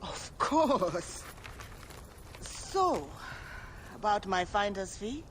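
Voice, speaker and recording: High-voiced, theatrical, shrewd relic dealer. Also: male voice, sing-song, drawn-out words, fairly bright tone, a little hiss or hum, exaggerated voice. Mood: scholarly, sly. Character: shrewd bookish male relic dealer, eager, roguish. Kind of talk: video game cutscene acting.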